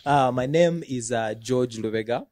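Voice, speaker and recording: A man with a Ugandan accent, a recording artist talking in a relaxed way in a TV studio interview, laughing easily. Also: medium pitch, moderate pace, no echo. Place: indoor TV studio set. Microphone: handheld mic.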